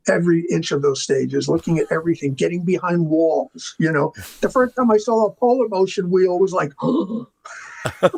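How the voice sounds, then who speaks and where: A man's voice: sits high, gravelly, breathy edge, poor audio. An older man chatting casually as an interview guest, telling rambling, enthusiastic stories about his working life. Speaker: interview guest, film makeup artist and art department veteran.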